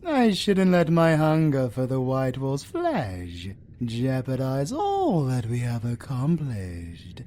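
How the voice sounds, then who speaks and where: A man's voice: mid-range voice, wildly swooping pitch, slow, mellow, slight background noise. A British man playing a commanding, devoted alpha werewolf in a romantic audio roleplay, intimate and menacing. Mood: tender, brooding.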